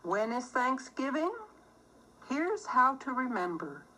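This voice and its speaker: A woman reading a children's book aloud as scripted narration, deliberate and expressive. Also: high-pitched, poor audio.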